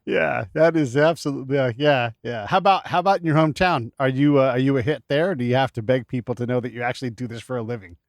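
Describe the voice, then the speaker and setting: A man's voice: mid-range voice, quick pace, hardly any pauses, no echo, studio quality. A man hosting a podcast interview, enthusiastic and casual, laughing often and swearing freely. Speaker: podcast host.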